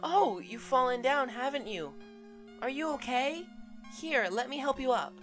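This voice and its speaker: A woman's voice: sits high, expressive, measured pace, fairly bright tone, soft background music, voice pushed up. A woman voicing a kind, helpful young monster goat child with a polite, caring manner in an audio drama.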